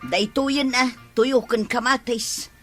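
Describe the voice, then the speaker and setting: A woman's voice: fairly high pitch, steady tone, slow pace, over music. A woman playing a long-suffering, hardworking wife, exasperated and pleading, in an Ilocano comedy radio drama, with an Ilocano accent.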